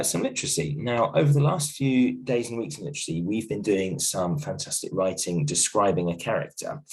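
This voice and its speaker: A male teacher with a croaky voice from illness, giving a gentle, reassuring video lesson from home with many ums and restarts. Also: deep voice, low-quality recording. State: croaky voice from a cold, coughing. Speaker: primary school teacher. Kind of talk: recorded video lesson for a class.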